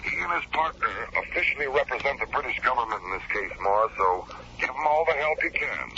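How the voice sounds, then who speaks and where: Commissioner on noisy transmission. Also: male voice, mid-range voice, expressive, fast, bright, forceful tone. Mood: businesslike. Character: businesslike, cordial male space agency commissioner. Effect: transmission over comms link. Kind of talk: radio drama acting. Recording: dull, noisy transmission sound.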